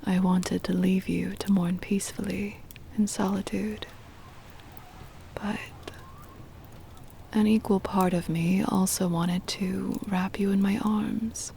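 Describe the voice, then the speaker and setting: Woman acting a slow, whispering possessive vampire mistress. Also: mid-range voice, mellow, slight background noise. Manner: whispery, breathy, tender, formal old-fashioned phrasing. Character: centuries-old possessive, doting female vampire mistress. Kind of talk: intimate ASMR roleplay, scripted dramatic monologue.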